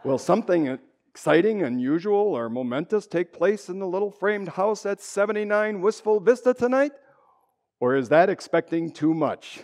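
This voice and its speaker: Guy doing a melodramatic vintage radio announcer, big swoopy pitch, lots of echo. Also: lively intonation, moderate pace, darkish tone. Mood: grandiose. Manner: dramatic, declamatory. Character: grand, melodramatic golden-age radio announcer. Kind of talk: 1930s-40s radio announcing. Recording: long echoing reverb.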